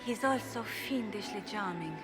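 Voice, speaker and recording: A woman's voice: medium pitch, expressive, normal speed, lightly acted. A woman playing a sly, witty courtier. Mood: wry. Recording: game dialogue over loud music.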